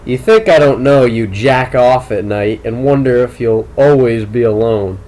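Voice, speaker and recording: A man voicing a brilliant, manipulative teenage tennis star in an audiobook, speaking in a deliberate, probing and coolly insistent way. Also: medium pitch, darkish tone, close to an everyday voice, poor audio. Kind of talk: audiobook character dialogue.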